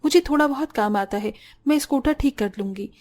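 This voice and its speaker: A woman playing a gentle, enterprising young daughter-in-law in a cartoon story, polite and earnest. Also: high-pitched, a little fast, natural voice. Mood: hopeful, persuasive. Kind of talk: Hindi cartoon story dialogue.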